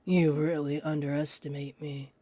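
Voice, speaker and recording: A woman's voice: sits low, little pitch movement, heavy background noise, close to an everyday voice. An actress playing a teasing, possessive female vampire in an audio roleplay, condescending and confident, speaking straight to the listener. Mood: menacing, condescending. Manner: smug, taunting, unhurried.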